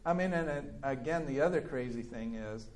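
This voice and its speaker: A man's voice: medium pitch, lively intonation, fairly quick, a little mellow. A man leads a church class, reading from a biography and stopping to comment. His mid-range voice jumps up when he acts out quoted lines, and he talks with plenty of restarts and fillers. A faint hiss sits behind the recording. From California. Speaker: Bible teacher.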